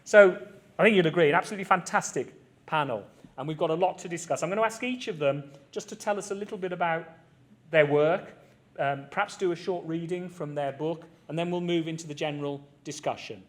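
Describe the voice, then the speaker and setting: A man's voice: on the high side, fairly quick. A man chairing a literary panel, introducing the speakers to an audience.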